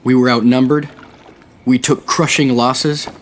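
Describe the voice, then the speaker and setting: An actor playing a resolute, solemn American naval captain of the Second World War in a video game cutscene, speaking in a measured, commanding way. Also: male voice, mid-range voice, level tone, a little hiss or hum, natural voice. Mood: grave, reflective. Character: resolute middle-aged male American naval captain of WWII. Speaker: ship captain and narrator.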